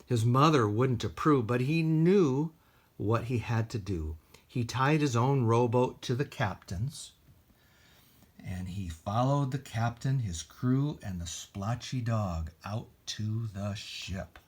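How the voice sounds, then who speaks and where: A man's voice: mid-range voice, expressive, slow pace, husky. A man reading a story aloud for children as a storytime host, expressively. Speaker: library storytime host and reader.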